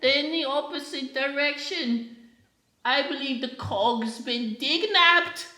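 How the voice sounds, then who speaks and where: A woman playing a naive, petulant young son in an improvised comedic radio play, plaintive and deliberate in phrasing. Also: sits high, bright, forceful tone, echoey room, full character voice. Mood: curious.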